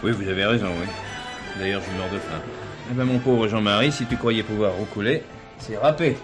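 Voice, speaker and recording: An actor playing a courteous, unflappable police commissioner in a stage play, urbane and authoritative. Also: male voice, lowish voice, brisk, lightly acted. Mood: wry. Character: unflappable, courteous police chief giving orders. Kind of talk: filmed stage play acting. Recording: old theatre recording with music behind.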